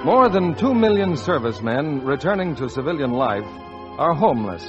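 An American man reading scripted announcements and sponsor pitches as a 1940s radio announcer, on a vintage radio recording. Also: sing-song, moderate pace, muffled recording, over music.

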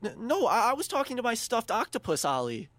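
A woman's voice: on the high side, very animated, fairly quick, dry recording. A woman voicing an earnest, timid twelve-year-old boy in an audio drama, hesitant and stammering as he pleads and rambles. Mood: nervous, confused.

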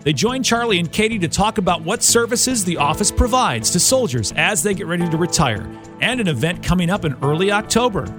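A man reading a scripted podcast intro as announcer, in a lively delivery. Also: high voice, a little fast. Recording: music bed under voice.

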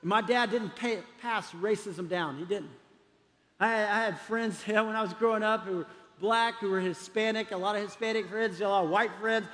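A middle-aged pastor from Texas delivers a sermon in a high male voice. He is emphatic and urging, often repeating a phrase to drive it home. The sound rings with long hall reverb. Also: normal speed, a bit bright. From Texas, United States. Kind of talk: sermon to a congregation.

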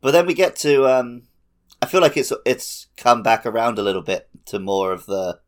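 A man chatting casually as a podcast host, telling stories in an animated, laughter-prone way. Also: mid-range voice, normal speed. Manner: animated storytelling with frequent laughter. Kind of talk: casual chat.

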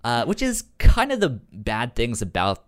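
A man co-hosting a casual, informal comic book discussion, halting and stuttering as he repeats sounds and syllables. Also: mid-range voice, wildly swooping pitch, no echo, studio quality. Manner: loose and informal, halting delivery. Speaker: podcast co-host.

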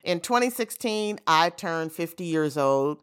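Woman, 50s, host; low voice, reflective storyteller, conversational asides. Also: animated, unhurried, smooth. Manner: warm, reflective storytelling with frequent "you know" asides. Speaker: podcast host. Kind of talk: reflective solo monologue.

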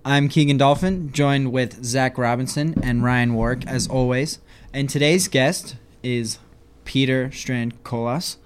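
A young man hosting a podcast, opening the show in an upbeat, welcoming way. His voice sits at an ordinary pitch for a man, with a faint noise behind it. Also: fairly even intonation, a little slow, few pauses. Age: young adult. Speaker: podcast host. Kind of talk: podcast intro and casual chat.

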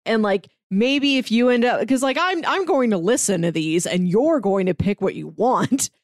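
A woman's voice: on the high side, expressive, normal speed, no echo, clean studio sound. A woman chatting casually as a podcast co-host. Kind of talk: casual chat.

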